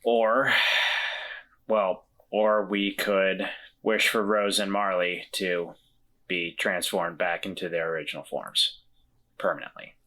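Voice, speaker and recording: A man's voice: deep voice, steady tone, slow pace, bright, forceful tone, low-quality recording. A man playing a tabletop role-playing game in character, earnest and a bit hesitant, with wry asides. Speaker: player.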